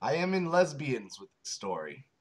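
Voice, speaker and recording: A man co-hosting a comedic reading of fan fiction, jokey and animated. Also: medium pitch, normal speed, husky. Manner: animated, jokey, breaks into laughs.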